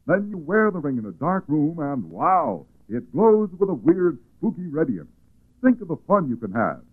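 An American man working as a radio announcer, reading a 1940s advertisement as an excited, emphatic sales pitch. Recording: tinny narrow-band 1940s radio recording.

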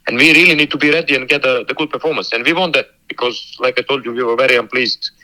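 Coach, male, bright tone, guarded and halting answers. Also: medium pitch, rough recording. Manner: halting, repeats words, careful and guarded. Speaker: football coach. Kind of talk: phone interview.

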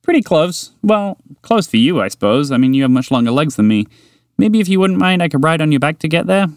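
A man voicing a small, excitable, chatty mouse in a bedtime story, eager and bubbly. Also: on the high side, no room sound, studio quality, pitched above the performer's own voice. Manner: eager, bubbly, quick to laugh. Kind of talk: storybook character voice.

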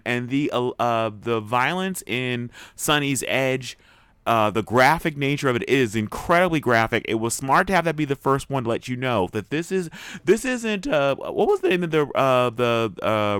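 Casting: male review podcast co-host, clean smooth voice, very lively pitch swings. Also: dry recording. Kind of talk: casual review chat.

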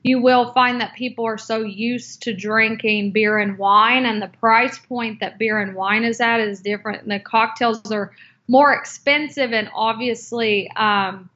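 A woman's voice: fairly high pitch, fairly even intonation, measured pace, sharp tone. A woman answering interview questions about cocktails and her book, speaking hesitantly with many fillers and restarts. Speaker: guest, cocktail book author. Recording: dull lo-fi audio.

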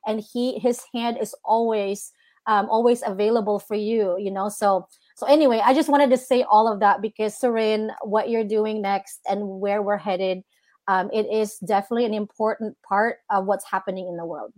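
A woman's voice: medium pitch, steady tone, moderate pace, a little mellow, no echo. Woman, thirties, earnest testimony, lots of fillers, dry close sound. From Philippines. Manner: earnest, heavy use of "like" and "you know". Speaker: guest minister. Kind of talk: casual personal testimony.

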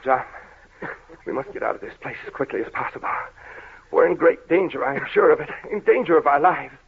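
An actor playing a commanding, urgent master trapped in a haunted house, in melodramatic 1930s radio mystery style. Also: male voice, fairly high pitch, animated, a little fast, vocal fry, breathy edge, heavily acted. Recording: muffled, lo-fi 1930s radio recording.

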